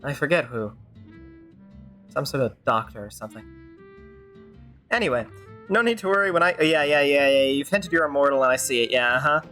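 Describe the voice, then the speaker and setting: Female game streamer, casual, chatty, wry, smooth mid-low speaking voice, music behind. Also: expressive, brisk, long pauses, fairly bright tone. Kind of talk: casual live reaction to a game.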